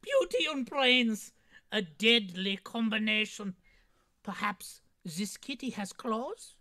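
A woman playing a smug, taunting villain in a theatrical, drawn-out way at a comedy table read. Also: on the high side, very animated, sharp tone, full character voice. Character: smug taunting villain, an old rival of a cop. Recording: video call.

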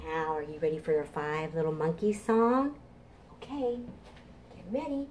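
A woman in her fifties hosting preschool storytime, reading picture books expressively with drawn-out emphasis. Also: sits low, a little mellow, slight background noise. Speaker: children's librarian, storytime host. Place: home kitchen. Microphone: camera or phone a short distance away.

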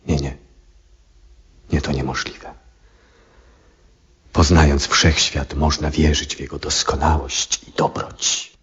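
An actor playing an aging, troubled, devout astronomer in a 1970s radio drama, speaking in a solemn, reflective and husky way. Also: male voice, a bass voice, flat delivery. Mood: anguished doubt. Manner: solemn, reflective, theatrical, deliberate phrasing. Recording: dull vintage radio recording.

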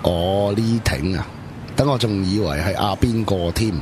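A man's voice: deep voice, a little slow, background noise. A man with a Hong Kong Cantonese accent, playing a blunt, mocking young man in a radio drama. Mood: scornful. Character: sarcastic young man teasing friends.

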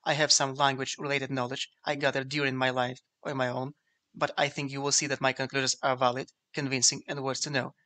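Fast-talking man with a flat, bright delivery. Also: mid-range voice. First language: Ukrainian. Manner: measured, explanatory, reading from a script. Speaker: video essay narrator. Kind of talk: scripted lecture narration.

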